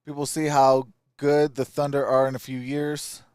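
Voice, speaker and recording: A man's voice: medium pitch, level tone, moderate pace, a little mellow, clear, resonant tone, no echo, studio quality. A man hosting a live sports stream, giving casual, opinionated commentary and answering viewer comments.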